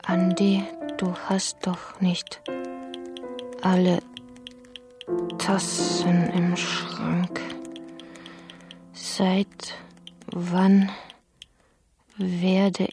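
An actress playing a bitter, defiant activist journalist in a radio drama, speaking in a deliberate, biting way. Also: female voice, fairly low pitch, completely flat delivery. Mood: bitter, sarcastic. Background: piano and keyboard music.

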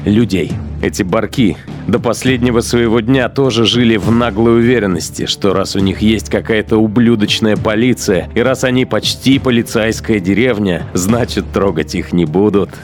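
An actor playing a cold, fanatical, contemptuous Nazi SS commander in the interior monologue of a radio play. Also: male voice, on the low side, lightly acted. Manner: self-assured, declamatory, scornful. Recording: studio radio drama with music behind. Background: music.

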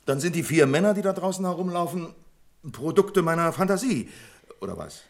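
A man's voice: a little high, expressive, brisk. A man acting in a German radio play as an exasperated, sarcastic bank branch manager, with indignant, dramatic emphasis.

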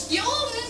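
Childish mocking woman, squeaky, slow drawl, swooping pitch, whiny, comedy audio play. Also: full character voice. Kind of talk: improvised 1980s comedy audio drama.

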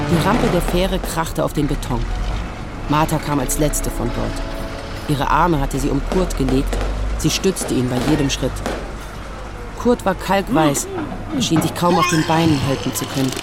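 A woman's voice: low-pitched, expressive, unhurried, long pauses, loud music behind the voice. An actress playing a disillusioned, self-mocking writer in her forties, narrating in the first person with dry, reflective irony. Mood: dry, wry. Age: 40s.

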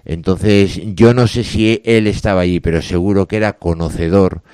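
A man with a low, mellow voice talks through poems in a relaxed chat, reading lines and then letting his comments fade to near-murmurs. The sound is close and dry. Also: normal speed, clean tone, no echo. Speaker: co-host discussing poetry. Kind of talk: casual radio chat about literature.

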